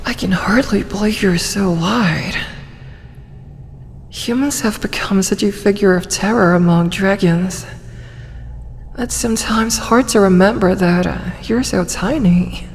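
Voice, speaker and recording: A woman's voice: mid-range voice, in a large hall, slight background noise, lightly acted. A woman voicing a possessive, doting tsundere female dragon in an ASMR roleplay, with a tender, coaxing manner. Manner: slow, coaxing, tender delivery with breathy asides.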